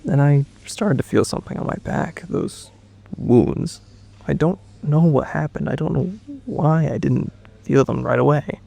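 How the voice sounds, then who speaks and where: A man's voice: fairly high pitch, a little slow, vocal fry, mellow, full character voice. A man playing a confused, shaken survivor under questioning in a naturalistic audio drama, hesitant and stammering as he speaks. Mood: confused, dazed.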